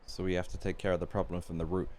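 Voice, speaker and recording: A man's voice: sits low, flat delivery, very fast, darkish tone. A man doing casual, playful game reaction commentary as a streamer, in an informal way. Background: game music.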